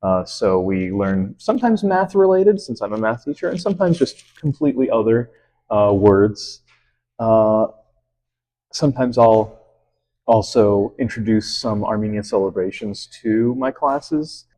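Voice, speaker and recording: A man who teaches math, answering interview questions in a relaxed, friendly way with many fillers. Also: on the low side, measured pace, poor audio. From Lebanon.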